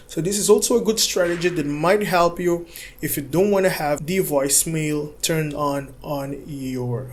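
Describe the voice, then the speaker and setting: A man's voice: high voice, a little mellow. A man hosting a tech tutorial, narrating steps casually in an instructional, reassuring way and often checking in with a short tag.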